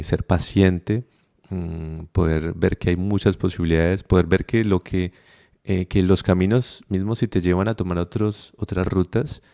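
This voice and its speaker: Deep gravelly Colombian man, reflective. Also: dark tone. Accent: Colombian Spanish. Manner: reflective, thinks aloud with many fillers and restarts. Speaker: podcast guest, migrant. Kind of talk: conversational interview.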